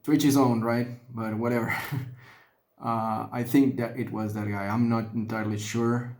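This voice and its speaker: Spanish-accented man, lowish gravelly voice, unhurried and halting, casual hobby vlogger at his desk. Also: a little mellow. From Mexico. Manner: halting, thinking aloud with many restarts and fillers. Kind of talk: casual talk while painting miniatures.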